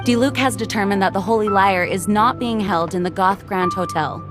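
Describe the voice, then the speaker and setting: A dutiful female knight commander from an anime game, played by a woman. Her mid-pitched voice is measured, formal and earnest, with music clearly playing behind it. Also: lightly acted. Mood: composed. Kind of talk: anime-style video game acting.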